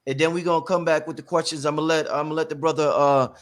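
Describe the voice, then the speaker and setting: A man's voice: a little high, steady tone, quick pace. A man hosting a live talk show, speaking casually and informally to his audience as family.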